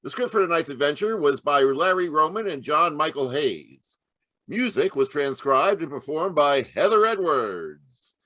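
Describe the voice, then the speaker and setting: Male vintage radio announcer: theatrical, lively swings in pitch, close dry sound. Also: a little slow, no room sound.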